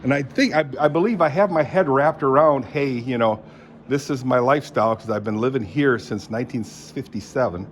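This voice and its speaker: A man in his seventies, born in Indonesia, talking in a rambling, halting way, full of fillers and frequent throat clearing. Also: medium pitch, a little hiss or hum. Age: 70s. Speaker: vlogger. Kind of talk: rambling casual monologue.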